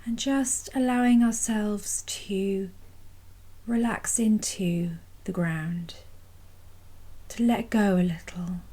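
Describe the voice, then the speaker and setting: A woman guides a meditation in a soft, mellow voice with a breathy, husky edge. She speaks very slowly and gently, leaving long pauses between phrases. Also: medium pitch. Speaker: mindfulness practice guide. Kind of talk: guided body scan meditation.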